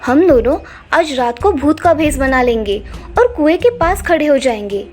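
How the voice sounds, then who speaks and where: A woman's voice: sits high, big pitch swings, moderate pace. A woman playing a curious, determined young village girl in a cartoon, speaking earnestly. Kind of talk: cartoon dialogue. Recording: music behind the voice.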